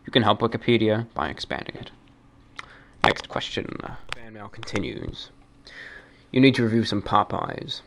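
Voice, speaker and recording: A man hosting a fan mail show, talking off the cuff and reading aloud in a deliberate, measured, slightly formal way. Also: lowish voice, very animated, gravelly, fairly bright tone, a little hiss or hum. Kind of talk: unscripted talk, reading and answering listener mail.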